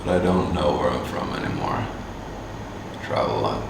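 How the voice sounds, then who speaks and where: A man from Canada answering interview questions casually, halting with many fillers and restarts. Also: extremely low pitch, animated, gravelly. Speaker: musician and agency owner. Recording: distant mic, echoey room, faint hum.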